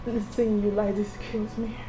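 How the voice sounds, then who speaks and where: A woman's voice: mid-range voice, little pitch movement, moderate pace, close to an everyday voice, rough recording. An actress playing a domineering, emotional Nigerian mother-in-law in a melodramatic film, swinging between scolding and tenderness. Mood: tearful, tender. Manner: scolding, repeating demands, emotional. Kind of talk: melodramatic film drama acting. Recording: music bed under dialogue.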